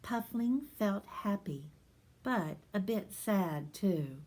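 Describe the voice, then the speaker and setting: A woman who is a reading teacher, reading a picture book aloud to children in a gentle, soft manner that slips into whispers. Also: medium pitch, lively intonation, slow.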